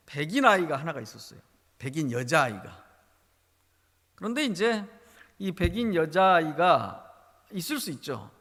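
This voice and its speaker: A man's voice: high voice, fairly bright tone, big-room sound. A man with a Jeolla Korean accent, a pastor preaching a sermon and telling a story with emphasis. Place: church sanctuary.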